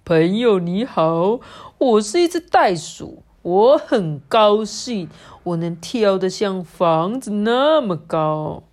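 A woman's voice: medium pitch, animated, slow pace, a little mellow. A woman voicing an eager, boastful little pig from a children's storybook, in a declamatory, announcing manner. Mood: proud, boastful.

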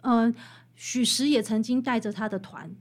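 A woman's voice: fairly high pitch, moderate pace. A woman with a Taiwanese Mandarin accent speaking as an interview guest, explaining and telling stories in an emphatic, conversational way. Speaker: guest author interviewed about her book.

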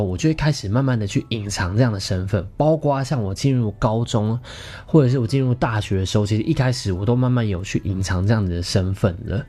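A man's voice: on the low side, warm tone. A man in his thirties from Taiwan with a Taiwanese Mandarin accent, hosting a podcast in a casual storytelling style with playful, self-mocking humour. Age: 30s. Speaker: podcast host.

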